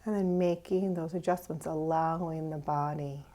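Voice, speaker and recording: A woman meditation teacher leading a guided meditation gently, with pauses, often trailing off into a breathy near-whisper. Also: low voice, fairly even intonation. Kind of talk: guided meditation, unhurried and instructive.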